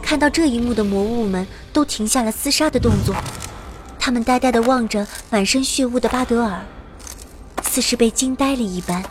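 A woman playing a timid young adventurer girl, narrating a battle in first person with expressive radio drama delivery. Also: on the high side, a little fast, close to an everyday voice. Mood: hushed narration. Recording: noisy battle effects behind.

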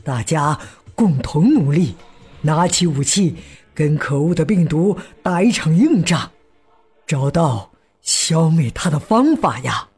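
An actor playing a gentle father who patiently explains things to his child in simple words, in a children's radio drama. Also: on the high side, big pitch swings, slightly rough, faint music behind, full character voice. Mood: earnest, explaining. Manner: slow, simple explaining with soft sentence particles.

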